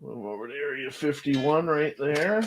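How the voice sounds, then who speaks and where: A man's voice: fairly high pitch, normal speed, a little hiss or hum. A man hosting a radio show, joking and bantering casually with guests. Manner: joking, playful, animated.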